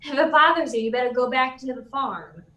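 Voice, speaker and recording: An actress playing a brash, sarcastic young newspaper reporter in a 1940s-style radio drama, needling and skeptical, in a roomy distant recording. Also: female voice, sits high, brisk, pushed and bright. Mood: scornful. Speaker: actor in a live radio play. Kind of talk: 1940s radio serial acting. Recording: roomy, echoing, off the mic.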